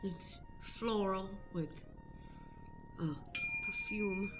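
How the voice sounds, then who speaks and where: Woman playing smug, bright-voiced flirtatious suitor. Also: mid-range voice, very slow, soft background music. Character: smug, flirtatious male suitor named Darwin. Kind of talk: improvised radio play acting.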